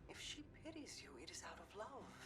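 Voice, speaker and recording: A woman playing a regal, enigmatic queen in a game cutscene, speaking in a formal, measured and knowing way. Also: medium pitch, big pitch swings, over music, lightly acted. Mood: quiet, knowing. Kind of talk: scripted game cutscene acting.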